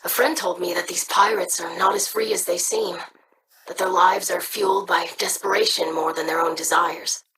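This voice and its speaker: A woman's voice: lowish voice, fairly even intonation, normal speed, a bit bright, recorded from a distance, slight background noise, close to an everyday voice, poor audio. A woman voicing an earnest young alien scholar. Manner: formal, earnest. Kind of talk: game character dialogue.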